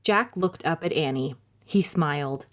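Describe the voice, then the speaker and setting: A woman narrating a children's story in a dramatic, clearly phrased, expressive reading style. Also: low-pitched, a little slow.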